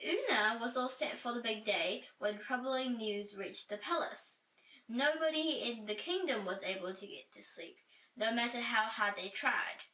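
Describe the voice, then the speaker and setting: A woman reads a children's story with animated, dramatic delivery. Her voice is fairly high and bright. It sounds roomy and echoey, set back from the microphone. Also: moderate pace. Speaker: storybook reader. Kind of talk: expressive children's story reading. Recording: roomy echo, distant from mic.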